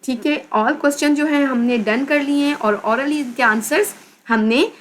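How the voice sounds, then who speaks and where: A woman teacher from Pakistan with a Pakistani accent, slowly reading a children's English textbook aloud and explaining each word. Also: high voice, a little hiss or hum, rough recording. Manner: addresses pupils as "beta". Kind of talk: slow textbook lesson with translation.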